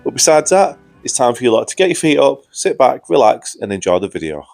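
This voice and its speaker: A young man talks through his game and his gear in a chatty, upbeat way. A cold leaves his mid-pitched voice slightly croaky and hoarse. Faint music plays underneath. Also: sing-song, a little fast, darkish tone. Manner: upbeat, chatty, says "guys" often. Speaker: gaming YouTuber. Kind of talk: gameplay commentary.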